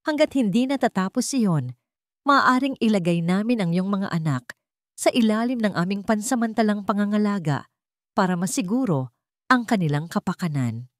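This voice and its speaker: A woman's voice: mid-range voice, expressive, moderate pace, no room sound, clean studio sound, natural voice. Woman reading as a stern Filipina social worker. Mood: formal, stern. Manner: formal official tone.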